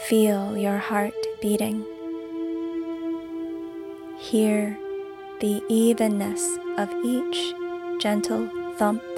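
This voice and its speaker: A woman guiding a meditation as scripted narration, calm and soothing, with deliberate phrasing. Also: mid-range voice. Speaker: meditation guide and podcast host. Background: music behind the voice.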